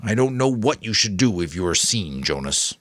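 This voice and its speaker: A man voicing a thoughtful, caring old mentor in a dramatic audiobook, measured and advisory. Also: lowish voice, big pitch swings, a bit bright, no echo. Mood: thoughtful, cautious.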